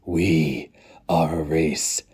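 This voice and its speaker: A man voicing an ancient, weary but fanatical male alien engineer, chanting slowly in a strained, otherworldly voice. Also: a bass voice, steady tone, darkish tone, slightly rough, heavily acted. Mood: chanting, fervent. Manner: slow chanting incantation. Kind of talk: dramatic audiobook reading.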